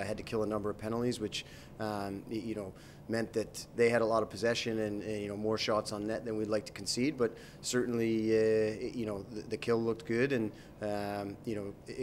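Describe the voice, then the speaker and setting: Man, 30s, hockey coach, measured answers with many fillers, monotone, handheld mic. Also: on the low side, slight background noise. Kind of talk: post-game interview. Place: arena interview backdrop, indoors. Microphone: handheld mic held by interviewer.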